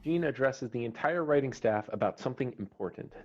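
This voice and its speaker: A man's voice: medium pitch, expressive, a little fast, darkish tone, husky. Terse male script narrator, slightly dull audio. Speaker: stage-direction narrator.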